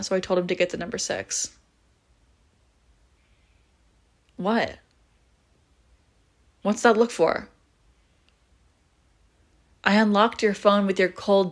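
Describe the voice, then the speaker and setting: A woman's voice: fairly low pitch, natural voice. Wry, teasing young vampire woman, acted by a woman. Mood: defensive, wry. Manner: casual, wry, teasing, swearing freely. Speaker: audio roleplay voice actor. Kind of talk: intimate scripted audio roleplay, conversational.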